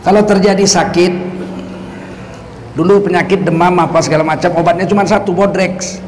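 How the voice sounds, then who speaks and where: A man's voice: high-pitched, fairly even intonation, a little fast, a little mellow, a little hiss or hum. A male Islamic preacher, giving a religious lecture laced with sarcastic political commentary and rhetorical questions to the audience. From North Sumatra, Indonesia.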